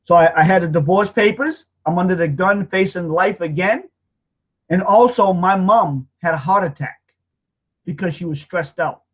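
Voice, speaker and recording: A man in his fifties tells his own story in a voice that sits a little high for a man. He speaks with raw emotion, halting and repeating himself as he works through painful memories. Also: rough recording. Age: 50s. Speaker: host telling his life story. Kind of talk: personal testimony.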